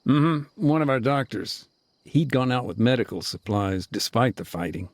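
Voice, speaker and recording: An actor playing a weary male aid worker who recounts events in a measured, laconic way during an interview in a video game. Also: medium pitch, a little hiss or hum, natural voice. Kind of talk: game cutscene dialogue.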